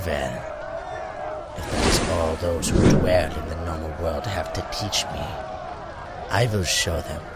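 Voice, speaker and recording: An actor playing a hunted, anguished and proud young mutant in a superhero audio drama. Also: male voice, fairly low pitch. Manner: theatrical, dramatic soliloquy. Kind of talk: fan-made superhero audio drama acting.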